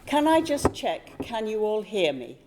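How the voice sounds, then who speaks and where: A woman hosting an author event in a library, speaking loudly to reach the room. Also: medium pitch, big pitch swings, a little slow, crowd noise. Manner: loud, projecting voice for a room. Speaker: event host interviewing an author. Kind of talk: introducing a library talk.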